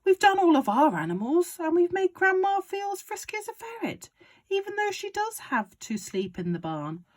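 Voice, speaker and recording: A woman reading aloud and voicing an excitable, bossy father who shouts his big plans. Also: normal speed. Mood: overexcited. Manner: shouting, overexcited, booming. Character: excitable bossy father, middle-aged man.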